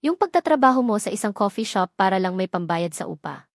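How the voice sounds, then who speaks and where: Storybook heavy: a cold, commanding young husband, measured and quietly menacing, read by a woman narrator, mid-pitched, dry close sound. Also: big pitch swings, no echo. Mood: calm menace.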